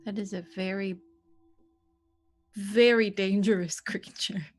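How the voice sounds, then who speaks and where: A woman's voice: medium pitch, fairly even intonation, slow. A woman playing a tabletop role-playing game, thinking aloud and deciding her character's moves hesitantly. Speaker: player.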